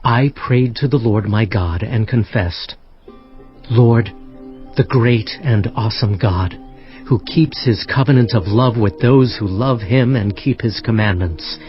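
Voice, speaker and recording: An actor playing an earnest, solemn prophet, narrating dramatized scripture expressively. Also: male voice, lowish voice, slow pace. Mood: penitent, earnest. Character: prophet Daniel, earnest solemn man recounting visions. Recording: dull audio under background music.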